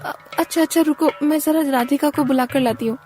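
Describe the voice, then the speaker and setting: A woman performs an excitable village wife and mother for an animated folk tale. The voice is pitched high and shrill, questioning and expressive, with light music underneath. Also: fairly quick, natural voice. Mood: excited. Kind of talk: Hindi animated moral story voice acting.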